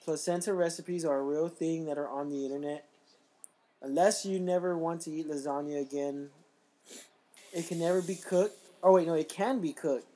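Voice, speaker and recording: A man hosting a casual podcast, his voice a little raspy, joking and reading things aloud. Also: on the high side, fairly even intonation, moderate pace, mellow. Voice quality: slightly raspy. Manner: laughing, joking, reads aloud. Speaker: podcast host.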